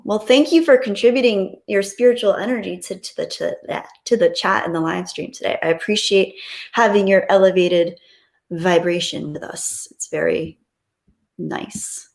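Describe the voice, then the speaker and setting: A woman's voice: medium pitch, gravelly, darkish tone. A woman hosting a livestream, chatting casually and reading out viewer comments in a gushing, appreciative, playful way. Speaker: livestream host.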